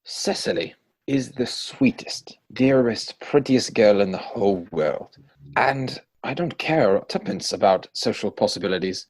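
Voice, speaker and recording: A man playing a carefree, lovestruck young English gentleman in a comic stage-play reading. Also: lowish voice, lively intonation, measured pace, dry recording, low-quality recording. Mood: adoring.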